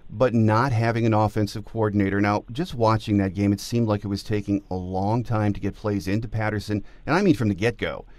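A man's voice: lowish voice, steady tone, brisk, hardly any pauses, darkish tone, no echo. A man with an American accent interviewing a guest as host of a sports talk podcast.

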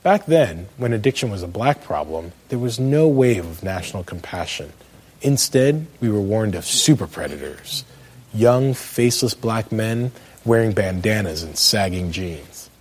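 A middle-aged American man delivers a scripted commentary in a lowish, slightly husky voice. His pitch moves widely as he leans on key words, and his phrasing is measured and emphatic. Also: expressive. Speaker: commentator, law professor. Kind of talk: scripted spoken essay.

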